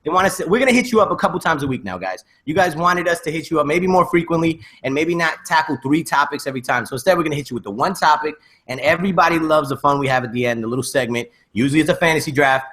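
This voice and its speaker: A man with a fairly high, very bright and forceful voice hosts a casual podcast. He talks in excitable, emphatic banter, loose with slang and swearing. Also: very fast. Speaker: podcast host.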